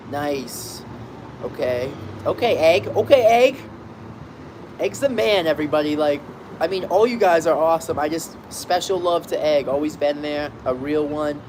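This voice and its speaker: A young man talks casually from an outdoor balcony, rambling and restarting his sentences. His voice sits a bit high for a man. Traffic noise from the street comes through clearly behind him. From Boston area, Massachusetts. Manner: rambling, chatty, many fillers and restarts. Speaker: streamer reading chat. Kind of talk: casual live chat.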